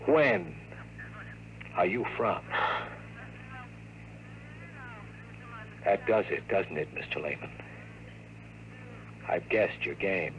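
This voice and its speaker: A man's voice: low voice, lively intonation, takes it slowly, fairly bright tone, full character voice. An actor playing a streetwise, impatient tough guy in 1950s radio drama, with clipped, sardonic, slangy talk. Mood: grimly curious. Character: tough, wry, streetwise hardboiled man, impatient. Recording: noisy old radio drama recording.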